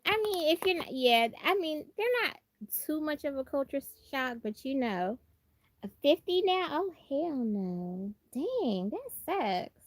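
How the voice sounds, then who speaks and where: A woman's voice: sits high, very animated, unhurried, no echo. A woman from Brooklyn with an American, country Southern-tinged accent, chatting casually and expressively as a livestream host, repeating phrases for emphasis. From Brooklyn, New York. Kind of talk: casual chat.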